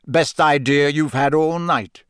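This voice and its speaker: A man's voice: on the high side, smooth, no room sound, studio quality, natural voice. Guy putting on a growly scarred older professor, audiobook style, sardonic, barks and taunts, bit threatening. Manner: barking, taunting, deliberate. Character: gruff, battle-scarred older male professor, sardonic and menacing. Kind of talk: audiobook character voice.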